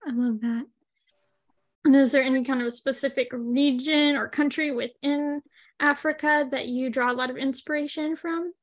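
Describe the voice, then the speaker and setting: Podcast host, woman, high pitch, easygoing interviewer who hedges with "like" and "kind of". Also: fairly even intonation, moderate pace. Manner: friendly, affirming, hedging with like and kind of. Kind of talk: interview questions.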